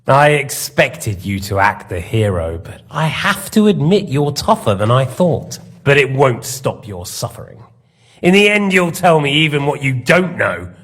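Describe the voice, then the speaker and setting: A man's voice: medium pitch, sing-song, measured pace, fairly bright tone, breathy edge, exaggerated voice. A man playing a smug, sadistic lord in a game, interrogating with mocking, theatrical, mock-polite menace. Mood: threatening. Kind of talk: dramatic game acting.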